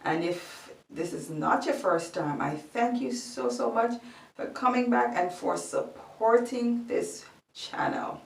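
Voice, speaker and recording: A woman with a Jamaican accent chatting slowly in a home vlog, thinking aloud with many ums. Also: fairly high pitch, gravelly. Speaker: vlogger. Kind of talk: casual vlog chat. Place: room at home. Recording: echoey room, voice a bit far from the mic.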